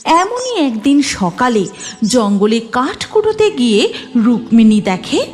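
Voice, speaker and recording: A woman's voice: fairly high pitch, sing-song, takes it slowly, a little mellow. A woman narrating a scripted cartoon story. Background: music.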